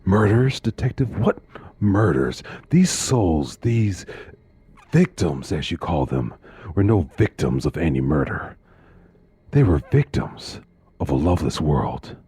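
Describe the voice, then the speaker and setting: An actor playing a calm, philosophizing male serial killer of about forty in a suspense audio drama, gravelly and deliberate. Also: full character voice. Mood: grandiose, intense. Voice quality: very deep, gravelly husky. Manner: slow, deliberate, pompous phrasing.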